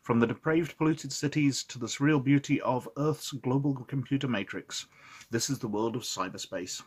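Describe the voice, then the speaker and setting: A man's voice: medium pitch, moderate pace, slightly rough. Sniffly man with a cold. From Scotland. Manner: chatty, with frequent "uh" and asides. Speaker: host reviewing old roleplaying game books. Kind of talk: casual review, reading aloud from a rulebook.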